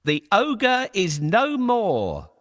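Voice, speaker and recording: A man playing a clever, flattering trickster cat in a courteous, coaxing, theatrical manner for a children's fairy tale. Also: high voice, extremely slow, no echo, studio quality, heavily acted. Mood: proud.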